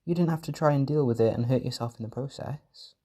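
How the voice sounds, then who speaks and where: A man performing a gentle, doting caretaker in an intimate comfort roleplay, speaking softly and reassuringly with tender pet names. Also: medium pitch, fast. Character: gentle, doting male caretaker soothing an overwhelmed partner.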